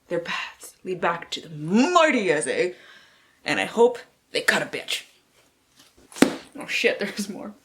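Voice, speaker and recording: A woman's voice: lowish voice, slight background noise. A woman book vlogger chatting casually and reading blurbs aloud in a playful, theatrical way, with slang and free swearing.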